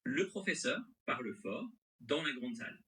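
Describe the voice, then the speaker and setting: A man's voice: medium pitch, animated, normal speed, sharp tone, low-quality recording. A male AI assistant voice with a mixed French accent and American-sounding intonation, replying in an agreeable, accommodating, conversational way.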